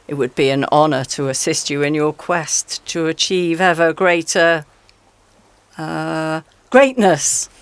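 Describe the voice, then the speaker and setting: A woman playing a sly, flattering predator animal, a fossa, coaxing with mock deference in a radio play. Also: sits low, takes it slowly, clean tone, dry recording. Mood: fawning, coaxing. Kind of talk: radio play character acting.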